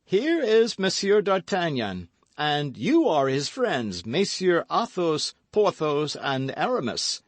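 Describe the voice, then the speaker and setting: A man's voice: fairly high pitch, wildly swooping pitch, takes it slowly, few pauses, no echo, pitched above the performer's own voice, full character voice. A man reading aloud voices a pale stranger who addresses the group formally and urgently.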